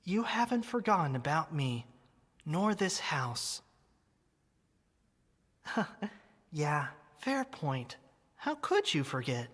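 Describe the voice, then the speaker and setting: A tender, wistful teddy bear played by a woman speaks in an ASMR roleplay with a soft, slightly low voice. The delivery is very unhurried and sentimental, like a loving childhood friend. Long reverb surrounds every word. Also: sing-song, heavily acted. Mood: fond. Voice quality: soft, breathy.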